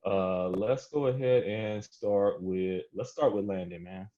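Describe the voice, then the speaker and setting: A man hosting a round-table podcast in a laid-back, colloquial way, with halting phrasing. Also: on the low side, lo-fi sound. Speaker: podcast host. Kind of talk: casual chat, moderating a round table.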